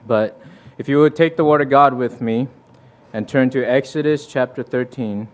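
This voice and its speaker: A man's voice: medium pitch, normal speed. Earnest young man preaching a testimony. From Fiji. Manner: earnest, conversational, with restarts and quoted scripture. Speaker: visiting preacher. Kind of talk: sermon with personal testimony. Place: church.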